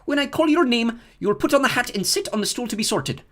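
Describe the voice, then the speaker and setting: A man voicing a stern older woman teacher who calls out names crisply. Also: extremely high pitch, animated, fast, pitched above the performer's own voice. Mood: brisk. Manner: crisp, calling out names loudly.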